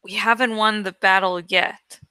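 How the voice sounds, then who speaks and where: A steady teenage boy leader from a storybook, read by a woman. He is stoic and terse, with bright, clean, matter-of-fact delivery. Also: medium pitch, a little slow, smooth, no echo.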